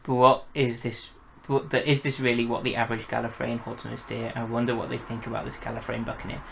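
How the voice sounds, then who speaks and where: Creaky-voiced man chatting enthusiastically. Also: medium pitch, monotone, quick pace, fairly bright tone, slight background noise. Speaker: review show host. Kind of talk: casual fan review chat, reciting lyrics and commenting.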